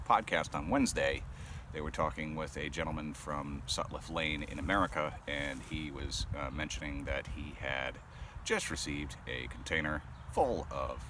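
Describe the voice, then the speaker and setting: Man in his thirties, very low voice, relaxed rambling talk, traffic noise outdoors. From Rhode Island. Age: 30s. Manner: relaxed, rambling, many ums and uhs. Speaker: pipe-smoking vlogger. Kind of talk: casual chat to camera.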